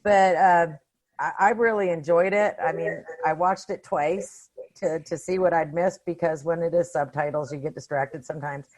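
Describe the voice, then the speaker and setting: A woman's voice: low voice, fairly even intonation, normal speed, darkish tone. A woman in a casual discussion as a podcast panelist, speaking in an informal, opinionated, slangy way. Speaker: podcast panelist reviewing films.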